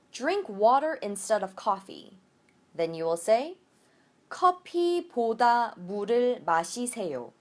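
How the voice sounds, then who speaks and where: High-pitched, animated woman teaching a language. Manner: explanatory, switches between English and Korean examples. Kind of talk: instructional lecture with example sentences.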